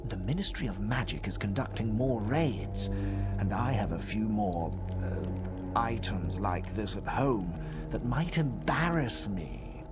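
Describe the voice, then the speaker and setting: A man's voice: lowish voice, big pitch swings, takes it slowly, over loud music. A man playing a cold, haughty aristocratic villain in a video game, imperious and drawling. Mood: icy, disdainful. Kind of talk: video game cutscene acting.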